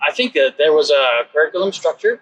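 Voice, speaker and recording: A man's voice: fairly high pitch, animated, fast, lo-fi sound, background noise. A man in his twenties from Nepal with a Nepali accent, answering questions casually as an interview guest. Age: 20s. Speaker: interview guest, program alumnus.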